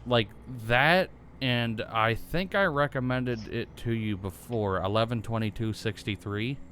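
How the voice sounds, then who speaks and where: A man's voice: mid-range voice, measured pace, a bit bright, a little hiss or hum. A young man co-hosting a podcast, rambling and joking in casual chat, stumbling for words. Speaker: podcast co-host.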